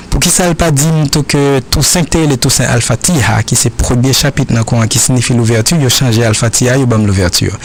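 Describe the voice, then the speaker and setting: A man's voice: medium pitch, slight background noise. Earnest Haitian man answering questions. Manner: earnest, repetitive, halting phrasing.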